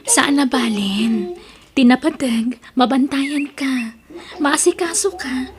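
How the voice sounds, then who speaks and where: A woman's voice: sits high, slow pace. A woman with an Ilocano accent acting a devoted, doting married woman in an audio drama. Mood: doting, insistent.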